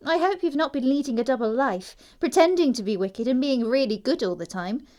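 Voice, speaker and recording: A prim young English lady played by a woman in an audio stage comedy. Her voice is fairly high, her manner earnest, artless and pert. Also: expressive, brisk, a little mellow. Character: prim, naive yet pert young upper-class English girl.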